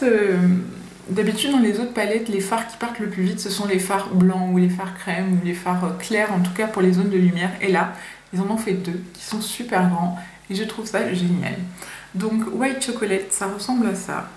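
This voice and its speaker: A woman's voice: medium pitch, fairly even intonation, moderate pace, darkish tone. A young woman from France chatting casually to camera in a nervous, chatty makeup review full of fillers. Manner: chatty, nervous first-timer, many fillers. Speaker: beauty vlogger. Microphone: camera mic. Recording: echoey room, faint hiss.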